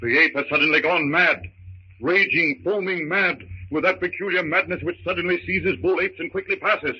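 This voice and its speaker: Urgent, high-pitched male radio narrator. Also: moderate pace. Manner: urgent, excited, present-tense play-by-play. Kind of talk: dramatic 1930s radio narration. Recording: muffled, hissy 1930s radio recording.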